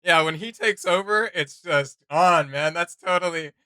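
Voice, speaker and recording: A man with a very bright, forceful voice gives casual reaction commentary. He sounds excitable and fairly high-pitched, recorded close and dry. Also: unhurried, smooth, no echo. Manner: excitable, enthusiastic. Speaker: reaction video host.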